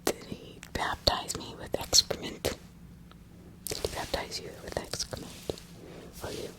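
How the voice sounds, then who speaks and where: A woman's voice: unhurried, close to an everyday voice, rough recording. A woman playing a stern magistrate who questions accused witches insistently in a whispered ASMR roleplay. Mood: accusing. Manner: interrogating, insistent, repeating questions.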